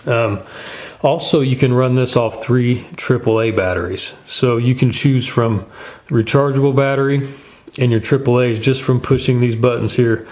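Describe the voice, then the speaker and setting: A man's voice: mid-range voice, normal speed, darkish tone. A man hosting a casual product review in an informal, folksy, plain-spoken way. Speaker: gear review channel host.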